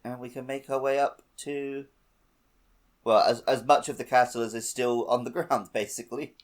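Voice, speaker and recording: A man's voice: medium pitch, fairly even intonation, normal speed. A man co-hosting a podcast, casually talking through a video game. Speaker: podcast host.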